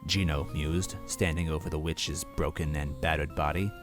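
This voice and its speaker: A man's voice: a bass voice, fairly even intonation, normal speed, music in the background. A man narrating a first-person story expressively as a reader.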